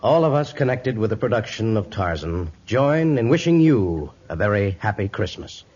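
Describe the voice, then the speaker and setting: A man's voice: on the low side, sing-song, normal speed, darkish tone, slightly rough. A man narrating a 1950s radio drama in a formal, theatrical storytelling style. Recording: dull old radio recording, music behind.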